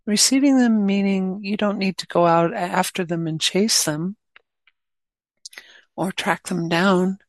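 A woman guiding a meditation as a teacher, speaking gently in short unhurried phrases with long pauses. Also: medium pitch, no echo. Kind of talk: guided meditation.

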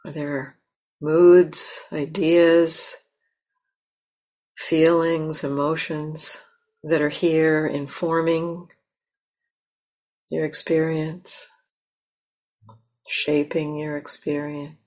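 A woman meditation teacher leading a guided meditation in a gentle, unhurried manner, often pausing mid-phrase. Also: sits low, flat delivery, rough recording. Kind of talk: guided meditation, slow with long pauses. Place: meditation center.

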